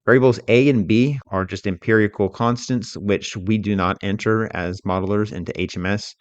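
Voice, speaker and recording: A man giving an explanatory software tutorial as an instructor, talking through it step by step in a measured, unscripted way. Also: on the low side, steady tone, no room sound, muffled recording.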